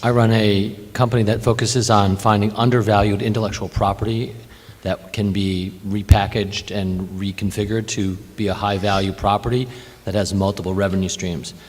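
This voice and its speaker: A man's voice: fairly low pitch, little pitch movement, moderate pace. A man speaking as a panelist at an industry conference, making firm, opinionated points. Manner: assertive, opinionated. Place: conference hall. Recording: reverberant conference hall.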